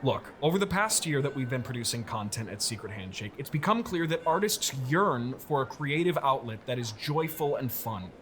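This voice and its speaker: A man's voice: medium pitch, expressive, moderate pace, hardly any pauses, a bit bright. A man with an American accent speaking casually and dryly in scripted comedic dialogue, as a company founder. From United States. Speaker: audio drama company founder.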